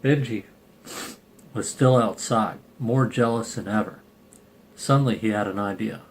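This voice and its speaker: A man's voice: fairly low pitch, measured pace, slight background noise, low-quality recording. A man reading a children's picture book aloud, with casual asides between the lines. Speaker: storybook reader and channel host.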